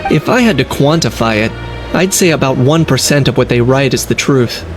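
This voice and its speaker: A man playing a level-headed, dryly wry young man in a video game, speaking in a measured, matter-of-fact way. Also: medium pitch, animated, lightly acted. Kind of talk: English video game voice acting. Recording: game music behind. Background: game music.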